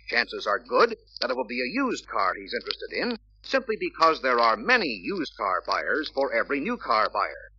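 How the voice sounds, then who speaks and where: An American man reading scripted sales-training narration in an emphatic, deliberate, persuasive way on a vintage film soundtrack. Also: fairly high pitch, pushed and bright. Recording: dull vintage filmstrip soundtrack, faint hiss.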